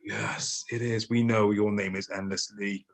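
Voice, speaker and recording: A man's voice: on the low side, little pitch movement, slow pace, vocal fry. A man hosting a casual interview podcast, dry and exasperated with his guest. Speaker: podcast host.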